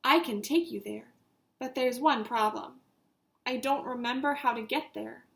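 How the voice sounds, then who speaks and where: Woman as a high-voiced friendly storybook comet. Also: measured pace, gravelly. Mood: helpful.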